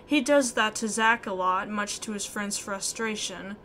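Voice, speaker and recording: A woman reading scripted story narration aloud in an expressive way. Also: mid-range voice, unhurried, slight background noise. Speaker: video game player reading narration aloud. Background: music.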